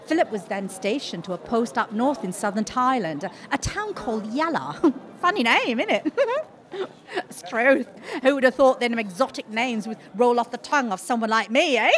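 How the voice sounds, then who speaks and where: A woman's voice: on the high side, big pitch swings, moderate pace, crowd noise. A woman playing a chatty, cheerful working-class Australian housewife in her thirties in an audio drama, with colloquial, wistful manner. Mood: fond, amused. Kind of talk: audio drama monologue and dialogue.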